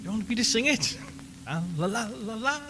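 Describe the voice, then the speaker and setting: A man's voice: high-pitched, big pitch swings, normal speed, background noise, pitched above the performer's own voice. A man playing a radio reporter losing his mind in a haunted house, ranting and muttering brokenly in an audio horror drama. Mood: unhinged. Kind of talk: audio horror drama acting, live broadcast monologue.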